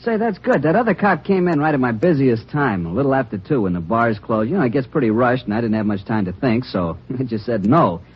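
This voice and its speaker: A nervous counterman at an all-night donut shop who rambles on, says sorry and repeats himself. The husky voice swings lively in pitch. It comes through a dull, muffled 1950s radio broadcast. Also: fairly quick, hardly any pauses. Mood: chatty. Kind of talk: 1950s radio drama acting.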